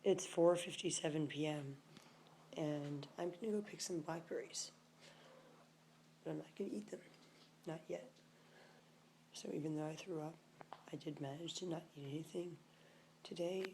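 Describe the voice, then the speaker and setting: A woman keeps a casual video diary from her floor at home. Her voice is hoarse and weak, often dropping to a murmur with some gravel in it. A faint background hum sits under the handheld recording. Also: low voice, normal speed, long pauses. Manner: soft, murmuring, often near a whisper. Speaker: vlogger.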